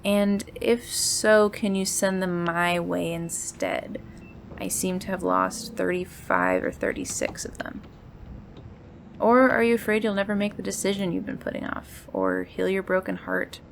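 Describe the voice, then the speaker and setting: A woman playing a mysterious, tender host of an otherworldly late-night radio show, speaking in a measured, cryptic, intimate monologue. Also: mid-range voice, big pitch swings, creaky, a little hiss or hum. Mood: inviting, wry. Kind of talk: scripted monologue in a fiction podcast styled as radio.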